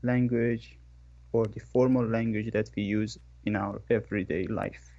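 A man from Iran speaks English, answering slowly and hesitantly, with plenty of fillers as he searches for words. His medium-pitched voice sounds dry and a little dull, as if from a modest home mic. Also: steady tone, gravelly, warm tone, no room sound. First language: Persian. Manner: hesitant, thinking aloud with fillers. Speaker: podcast guest, English learner. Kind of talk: interview answers.

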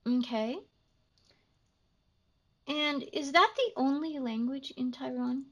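A woman hosting an English-learning podcast, speaking slowly and clearly like a teacher interviewing a learner. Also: high voice, muffled recording. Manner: slow, carefully enunciated teacher talk.

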